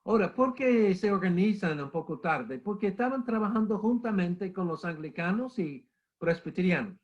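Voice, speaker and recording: A man's voice: sits high, expressive, normal speed. A middle-aged man with the flu giving an emphatic church history lecture, repeating key points and calling listeners brother. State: has the flu, coughing. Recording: muffled lo-fi recording.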